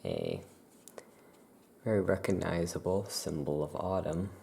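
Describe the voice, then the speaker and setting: A soft-spoken ASMR performer playing a shopkeeper, presenting items in an unhurried, explanatory way. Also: deep voice, big pitch swings, creaky, a little hiss or hum.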